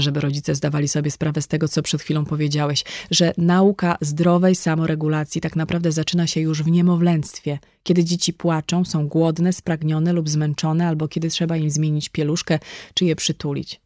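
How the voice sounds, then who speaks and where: A woman's voice: sits low, dark tone, dry recording, clean studio sound. A woman reading a conversational non-fiction audiobook in a scripted narration style. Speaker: audiobook reader.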